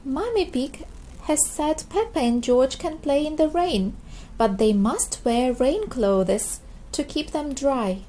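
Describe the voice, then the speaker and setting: A woman narrating a children's storybook in a scripted, expressive read-aloud style for very young listeners. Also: sits high, rough recording. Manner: slow, expressive delivery for small children.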